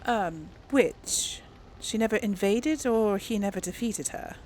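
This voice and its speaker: A woman's voice: medium pitch, unhurried, darkish tone, slight background noise. A woman hosting a casual role-play let's play, speaking in a hesitant, thoughtful way. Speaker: gaming video host and role-player.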